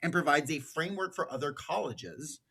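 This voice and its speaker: A man's voice: on the high side, moderate pace, creaky, sharp tone, husky. A man with an American accent giving political commentary as a podcast host, partly reading from notes.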